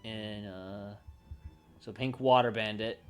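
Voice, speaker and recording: A man livestreaming casually, thinking aloud in a halting, unscripted way as he works through ideas. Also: lowish voice, fairly even intonation, smooth, faint music behind. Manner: halting, pausing to think and correct himself. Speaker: livestreamer brainstorming a roleplaying campaign.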